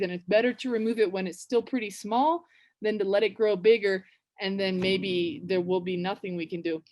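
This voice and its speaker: A woman with a West Coast American accent telling and reading a story to language learners in a casual, chatty way, with many fillers and restarts. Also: medium pitch. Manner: reads book passages slowly and clearly. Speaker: teacher reading a children's book. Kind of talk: storytelling lesson for English learners.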